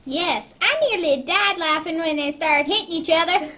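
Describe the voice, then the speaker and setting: A woman playing an excited, cheeky working-class child in a radio drama, wide-eyed and slangy. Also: very high voice, moderate pace. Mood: delighted. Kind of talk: amateur radio drama acting. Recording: clearly noisy amateur recording.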